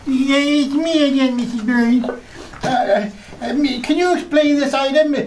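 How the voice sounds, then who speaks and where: A woman plays a bewildered official in a radio comedy. The voice is high and hesitant, on a noisy recording. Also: measured pace, a little mellow. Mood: baffled. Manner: hesitant, baffled. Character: hesitant, bewildered official questioning expense items. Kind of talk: old-time radio comedy acting.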